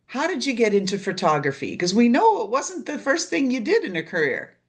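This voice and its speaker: A woman's voice: a little high, sing-song, fairly quick, slightly rough. A woman from Canada with a Canadian accent, hosting an interview show in an upbeat, chatty way. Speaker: podcast host. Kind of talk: casual interview chat.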